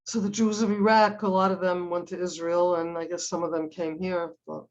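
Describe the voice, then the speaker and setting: A woman's voice: on the low side, flat delivery, fairly quick, a little mellow, lo-fi sound. An older woman from Cleveland, Ohio, whose first language is Hungarian, chatting casually and telling rambling family stories with many restarts. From United States (Cleveland, Ohio). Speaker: drawing class participant. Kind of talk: casual chat.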